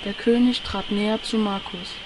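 A woman's voice: mid-range voice, steady tone, moderate pace, a little mellow, slight background noise, rough recording. A woman reading scripted narration for an audio drama. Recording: music under the voice. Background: music.

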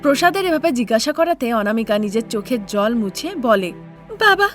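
A woman's voice: a little high, expressive, brisk, soft background music. A woman narrating a scripted cartoon fairy tale as storyteller.

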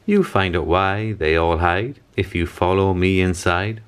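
A man's voice: deep voice, steady tone, a little slow, clear, resonant tone. A man voicing a tiny, fearless, cheeky little girl in a storybook, with a sing-song manner. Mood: teasing. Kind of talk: storybook character reading, sing-song rhymes.

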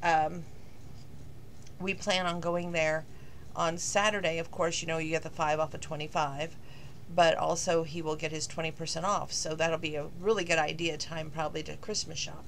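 Slightly hoarse chatty woman. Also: deep voice, level tone, moderate pace, a bit bright, slight background noise. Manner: rambling, chatty, with many fillers. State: slightly hoarse, losing her voice. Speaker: vlogger. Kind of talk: casual talking-to-camera vlog.

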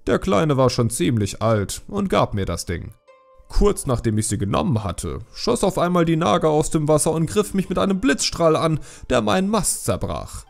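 Man playing an animated fisherman storyteller. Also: a little high, normal speed, gravelly, soft background music, pitched above the performer's own voice. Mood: excited. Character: excited male fisherman recounting a wild sea escape.

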